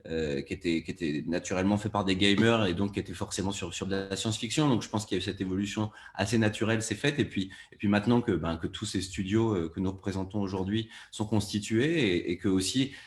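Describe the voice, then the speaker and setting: Male producer for panel talk. Lowish voice, hesitant, word-repeating delivery. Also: fast talker, hardly any pauses. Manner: hesitant, repeats words while formulating. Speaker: podcast producer, panel guest. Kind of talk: panel discussion.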